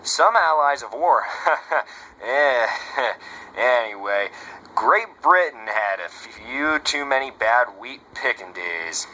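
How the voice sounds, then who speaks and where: A man's voice: mid-range voice, animated, takes it slowly, bright, forceful tone, dull audio, heavily acted. A man playing a brash, slangy 1930s swing-era radio host with a showy, chummy patter, on a noisy amateur recording. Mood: lecturing, chummy. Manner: showy, chummy, slangy, asks rhetorical questions.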